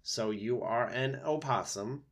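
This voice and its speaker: A man voicing a gentle, naive female robot in a storybook, polite, measured and overly formal. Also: medium pitch. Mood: matter-of-fact. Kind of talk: storybook read-aloud voice.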